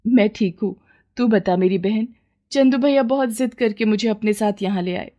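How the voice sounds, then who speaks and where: A woman's voice: mid-range voice, normal speed, dark tone, muffled recording, close to an everyday voice. A woman playing a poor, humble village mother with a plaintive, deferential way of talking in a story. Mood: grateful.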